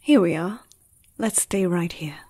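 A woman playing a gentle, protective vampire in an ASMR roleplay, soft-spoken and often slipping into a whisper, soothing a human. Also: fairly low pitch, measured pace, slightly rough. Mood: gentle, earnest.

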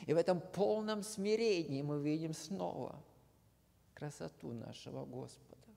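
A man preaching a sermon, slow and earnest, often dropping to a hushed near-whisper at the ends of phrases. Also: fairly high pitch, gravelly. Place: church hall. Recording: long hall reverb.

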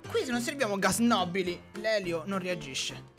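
A woman's voice: medium pitch, animated, normal speed. A woman in a playful gaming roleplay, delivering lines in a bantering style. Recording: loud music behind the voice. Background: loud background music.

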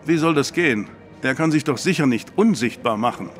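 A man's voice: medium pitch, expressive, fairly quick, soft background music, close to an everyday voice. An actor playing a sceptical, flippant man in an audio drama, with a blunt, dry way of talking. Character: sceptical, flippant man hearing out a troubled woman.

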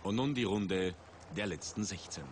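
A man commentating a ski race for TV, measured and rising in excitement at big moments. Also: low-pitched. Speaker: sports commentator. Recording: 1980s TV broadcast with outdoor noise.

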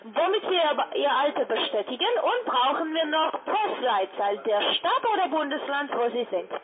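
A woman with a foreign accent making a formal, halting sales call as an account manager. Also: high voice, sing-song, crowd noise. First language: not German. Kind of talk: scripted cold-call sales talk. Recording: narrow tinny phone line.

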